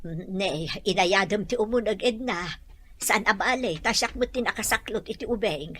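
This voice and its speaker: A woman playing a caring mother who gently advises her grown daughter in a radio drama. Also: medium pitch, normal speed, slightly rough. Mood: gently explaining. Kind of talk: Ilocano radio drama acting.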